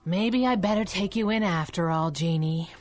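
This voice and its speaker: A woman's voice: fairly low pitch, normal speed, close to an everyday voice. Woman playing a sassy superhero sidekick. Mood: taunting. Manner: cheeky, teasing. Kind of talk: radio drama acting in a pulp serial style.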